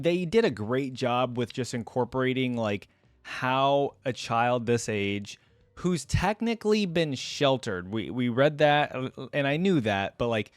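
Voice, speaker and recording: A man's voice: medium pitch, dry recording. A man giving casual, off-the-cuff commentary as a reaction video host, thinking aloud as he goes.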